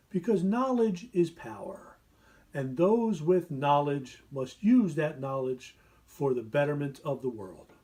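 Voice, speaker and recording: A man in his fifties answering interview questions deliberately, often posing and answering his own questions. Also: fairly high pitch, dark tone. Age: 50s. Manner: deliberate, poses rhetorical questions.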